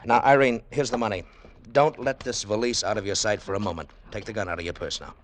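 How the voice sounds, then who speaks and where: A man's voice: on the low side, quick pace, slight background noise, close to an everyday voice. An actor playing a cool, commanding male adventurer who also narrates, in dry, wry 1940s radio drama style. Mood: brisk, in charge. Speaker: lead character and narrator.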